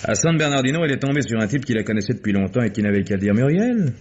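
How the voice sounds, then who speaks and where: An actor playing a world-weary, sardonic male private detective in a 1950s French radio mystery, speaking deliberately with dramatic pauses. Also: medium pitch, very animated, a little mellow, a little hiss or hum, lightly acted. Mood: sardonic, explanatory. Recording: muffled vintage radio drama recording.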